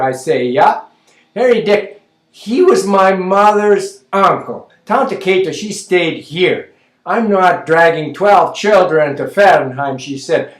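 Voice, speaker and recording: A man doing a rambling old Mennonite lady. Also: high voice. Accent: Low German Mennonite English. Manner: rambling, scrambled word order, tacks on "yeah?" constantly. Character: rambling gossipy older Mennonite woman from rural Manitoba. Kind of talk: comic character monologue. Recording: roomy echo.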